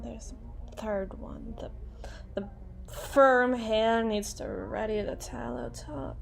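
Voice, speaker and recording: A woman's voice: fairly high pitch, a little mellow, a little hiss or hum. A woman performing an ASMR roleplay as a drunken, teasing, domineering female boss, rambling and stumbling over her words.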